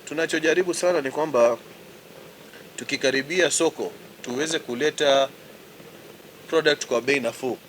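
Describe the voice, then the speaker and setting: A middle-aged man from Tanzania, a company managing director explaining his business in a measured way and switching between languages. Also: a little high, a bit bright. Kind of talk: business presentation. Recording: noisy on-site recording.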